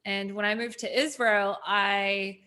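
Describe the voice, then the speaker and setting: A woman's voice: mid-range voice, steady tone, takes it slowly, bright, forceful tone. A woman, chatting casually as an interview guest with frequent ums. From New Zealand.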